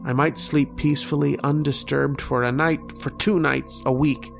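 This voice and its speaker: A man playing a haunted narrator who recalls an obsessive dream, in solemn, literary, measured radio-drama delivery. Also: a little high, steady tone, gravelly, over music. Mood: haunted, wistful.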